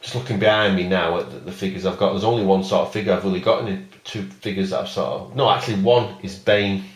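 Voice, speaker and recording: A man giving a casual, rambling video review of a collectible figure in a plain, even voice, stopping often for ums and uhs. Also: sits low, fast. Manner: rambling and hesitant, many fillers.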